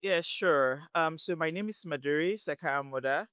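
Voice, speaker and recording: Smooth-voiced woman, dry sound. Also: sits low, a bit bright, no room sound. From Lagos, Nigeria. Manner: thoughtful, unhurried, pauses to search for words. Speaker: guest, community relations worker. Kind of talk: casual interview chat.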